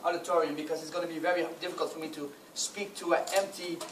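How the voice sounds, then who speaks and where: A man in his late twenties from Kurdistan, a non-native English speaker, giving a motivational lecture to students, with halting repetitions and direct questions to his audience. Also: fairly high pitch, level tone. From Kurdistan, northern Iraq; later northern Netherlands. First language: not English. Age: late 20s. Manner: halting, repeats words, addresses audience with questions. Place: lecture auditorium. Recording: noisy echoey auditorium, distant microphone.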